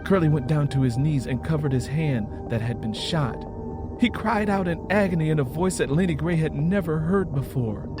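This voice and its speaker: Male story narrator over clear music. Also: on the high side, expressive, moderate pace. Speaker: author and narrator. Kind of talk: dramatic scripted story narration.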